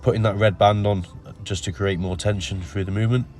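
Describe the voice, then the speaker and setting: Low, flat-voiced man with a nasal cold. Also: brisk, soft background music. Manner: relaxed, many ums, explains step by step. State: has a cold. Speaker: bodybuilder narrating his workout. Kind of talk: casual voice-over commentary.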